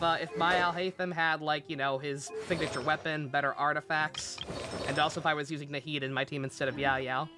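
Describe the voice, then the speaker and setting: A game streamer giving casual, rambling live commentary, peppered with swearing and exclamations. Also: mid-range voice.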